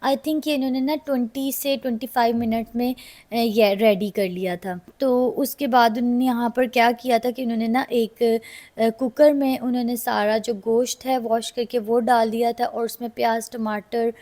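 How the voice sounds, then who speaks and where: A slightly hoarse woman with a Pakistani Urdu accent, chatting casually to viewers in a cooking vlog voice-over. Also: on the high side, darkish tone. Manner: chatty, addresses viewers directly. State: slightly hoarse from a sore throat. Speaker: vlogger.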